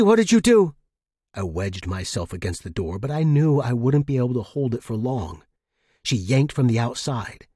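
Husky man narrating fiction, close and dry. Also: mid-range voice, darkish tone, no room sound. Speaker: story narrator. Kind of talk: scripted first-person fiction narration.

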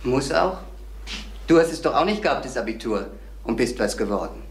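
A man's voice: mid-range voice, expressive, a little fast, close to an everyday voice. Crime radio play: young male actor as subdued, anxious schoolboy, roomy old recording with faint noise. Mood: uneasy. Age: young adult. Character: worried, polite teenage schoolboy son. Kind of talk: German crime radio drama acting.

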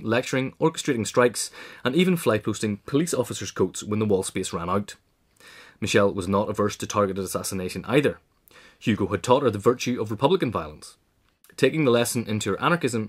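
A man reading scripted narration in a formal, articulate manner, as the narrator of a pamphlet. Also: fairly low pitch.

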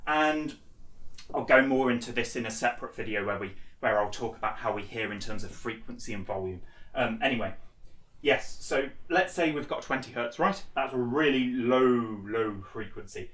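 A man's voice: mid-range voice, moderate pace, a bit bright. Young man teaching in an echoey room. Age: 20s. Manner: informal, step-by-step explaining. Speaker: instructor. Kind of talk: explanatory lesson at a blackboard. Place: small bare indoor room. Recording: echoey room, distant microphone.

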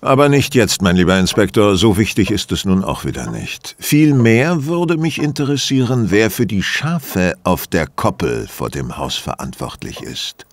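An actor playing a shrewd, courteous, self-assured detective in a German audio drama, speaking theatrically and deliberately. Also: male voice, fairly low pitch, very animated. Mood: probing, brisk.

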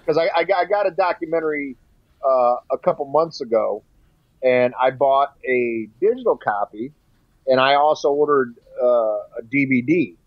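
A man's voice: mid-range voice, animated, normal speed, no room sound. Blunt, sweary man on a slightly dull podcast mic. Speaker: podcast co-host reviewing a music documentary. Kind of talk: casual chat.

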